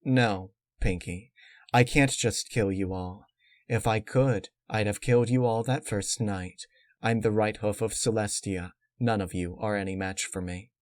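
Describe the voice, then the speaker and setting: A man voicing a cold, calculating female unicorn villain who explains menace in a measured, matter-of-fact way. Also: lowish voice, steady tone, dry recording, studio quality, close to an everyday voice. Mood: cold, explaining.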